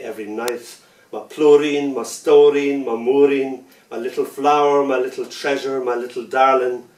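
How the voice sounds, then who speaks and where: A man reading his poetry aloud, with a rhythmic, playful delivery that softens into gentle lullaby lines. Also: medium pitch. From Ireland. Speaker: poet. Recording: roomy sound from a distant mic.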